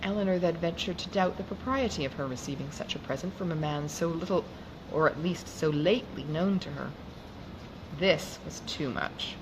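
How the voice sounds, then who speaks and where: A woman narrating a classic novel in a measured, expressive reading. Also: deep voice. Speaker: audiobook reader. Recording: noisy background hiss.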